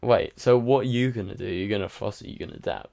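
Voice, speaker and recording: A man's voice: fairly low pitch, fast talker, vocal fry, dark tone. A tired man hosting a casual livestream, rambling and chatty with lots of fillers while answering viewer comments. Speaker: livestream host. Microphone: home microphone.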